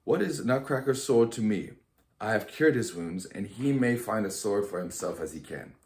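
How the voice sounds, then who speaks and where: Storybook old godfather male reader, odd, droll, teasing, low-pitched, echoey room. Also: slow pace. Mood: gruffly teasing. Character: eccentric, teasing old godfather, a watchmaker counselor.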